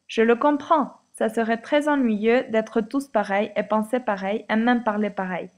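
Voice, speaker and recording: A woman reading a scripted, reflective voice-over as a student presenter, in a measured way. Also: fairly high pitch, steady tone, darkish tone.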